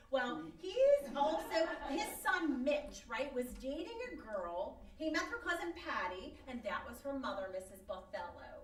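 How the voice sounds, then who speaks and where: Woman acting a high-pitched gossipy small-town wife. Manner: chattily rambling, expressive. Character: gossipy, easily exasperated small-town wife, retired-teacher type. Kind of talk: old-time radio comedy acting before a live audience. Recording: echoey live stage, distant mic.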